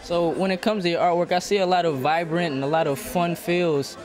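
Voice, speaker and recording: A young man interviewing artists at an outdoor art event, asking friendly, halting questions. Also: on the high side, steady tone. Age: young adult. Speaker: student interviewer. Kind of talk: casual on-the-spot interview. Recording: crowd chatter and music behind.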